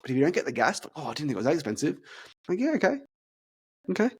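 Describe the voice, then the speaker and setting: A man's voice: a little high, big pitch swings, darkish tone, husky. Stuttering man talking sales. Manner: talks through deliberate stutters and repeated words. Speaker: fitness business consultant. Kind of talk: casual podcast chat, role-playing sales calls.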